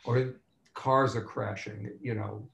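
A man's voice: on the low side, fairly even intonation, slow pace, vocal fry. An older man, a filmmaker on a video call panel, talking frankly and rambling with self-corrections. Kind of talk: casual panel discussion. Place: home room on a video call. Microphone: laptop webcam mic on video call. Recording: roomy echo on a video call.

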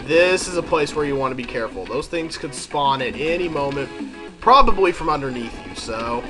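A man's voice: on the high side, expressive, fairly quick. A man with a head cold, sounding congested, chatting and thinking aloud as he commentates a video game. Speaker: let's play commentator. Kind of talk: casual gameplay commentary. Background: game music.

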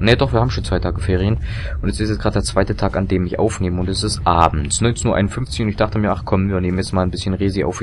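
A young man commentating a video game, chatty and rambling with fillers and self-corrections, his voice a little hoarse and tired. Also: deep voice, slight background noise. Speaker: let's play commentator. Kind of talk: casual gameplay commentary.

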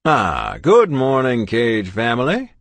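Male narrator playing a gentle, unhurried old doctor, always good-humoured, slightly raised pitch, in a dry booth. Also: huge pitch swings, vocal fry, no room sound, full character voice. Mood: cheerful. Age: older. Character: kindly cheerful older male doctor.

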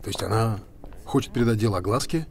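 Quick-talking composed middle-aged man. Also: on the low side, gravelly. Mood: analytical. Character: composed, analytical middle-aged male theatre director. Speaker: theatre director. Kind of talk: naturalistic screen acting.

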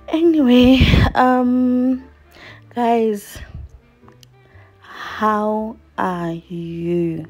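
A woman in her thirties talks to her viewers in a slow, unhurried way, her voice mellow and low. She sounds earnest and reflective as she does chores in her home kitchen, picked up by a camera across the room, with soft music faintly underneath. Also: creaky. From South Africa. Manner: earnest, reflective, speaks while washing dishes. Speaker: vlogger. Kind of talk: casual chat to viewers while doing chores.

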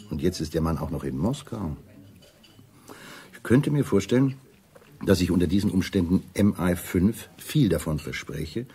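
An older secret service official in a radio play. The voice is low and suave, and he talks down to people with polite, veiled menace. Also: mellow. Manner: suave, condescending, chuckling menace. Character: older British intelligence official, smooth, veiled menace, condescending. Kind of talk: German radio drama acting.

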